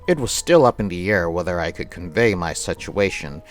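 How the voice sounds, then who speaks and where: A man hosting a live game stream, chatting with viewers and reading visual novel narration aloud in an animated, chatty way. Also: low-pitched, moderate pace, faint music behind.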